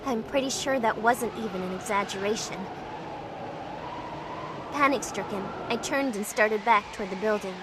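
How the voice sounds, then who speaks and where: A woman playing an anxious, curious young woman who narrates her own story in a measured, introspective way for a visual novel fan dub. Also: mid-range voice, noisy background, natural voice. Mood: tense, dramatic. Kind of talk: first-person scripted narration. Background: music.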